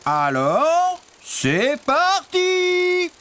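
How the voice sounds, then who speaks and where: A man voicing a jolly, gallant camel in a children's cartoon, with a courteous, playful, drawn-out delivery. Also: very high-pitched, huge pitch swings, noisy background, exaggerated voice. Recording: music under the voice.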